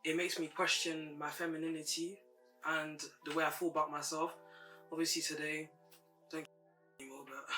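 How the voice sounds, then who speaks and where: A young woman with a naturally deep voice talks candidly and thoughtfully as an interview guest. Her delivery stays even and flat. She is recorded from a little distance, with clear music running underneath. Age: young adult. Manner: reflective and candid, speaking in a level tone. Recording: distant mic with music playing behind.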